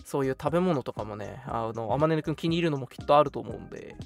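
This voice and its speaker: Young man, radio host, expressive intonation, casual polite chat, soft music bed. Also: mid-range voice, quick pace, creaky. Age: young adult.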